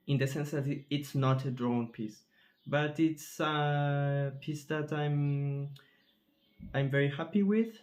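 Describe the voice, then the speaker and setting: A man from Chile, a composer answering interview questions thoughtfully, often pausing and searching for words. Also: mid-range voice, a little mellow. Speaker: composer in residence.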